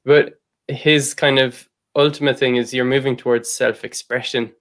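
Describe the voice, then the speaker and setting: An Irish man with a mid-range voice hosts a podcast chat. He talks in a relaxed, loose way and leans on "like" as a filler. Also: medium pitch, moderate pace. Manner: relaxed, rambling, with many "like" fillers. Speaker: podcast host. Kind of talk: casual conversation.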